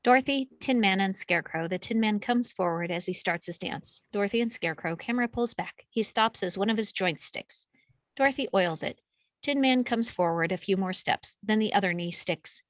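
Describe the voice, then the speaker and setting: A woman's voice: mid-range voice, moderate pace, dry recording. A woman reading out action and camera directions from a film script as scripted narration at a table read. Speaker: reader of stage directions.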